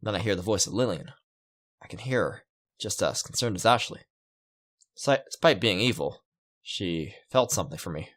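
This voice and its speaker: Low-voiced man reading a visual novel. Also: expressive, moderate pace, pauses often, a bit bright, slightly rough. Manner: musing, reacting aloud to the story. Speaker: let's player. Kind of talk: reading a visual novel aloud with casual asides. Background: game music.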